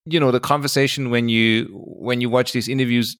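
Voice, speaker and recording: A man's voice: mid-range voice, fairly even intonation, brisk, a bit bright, smooth, no echo, studio quality. A man speaking as a podcast co-host, telling stories in a casual, conversational way.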